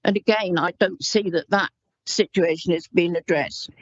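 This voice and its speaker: A woman councillor speaks in a council chamber, pausing often and restarting her sentences. The sound is dull and dry, like a meeting microphone. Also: fairly low pitch, dry recording. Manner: halting, many ums and restarts. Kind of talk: formal committee speech.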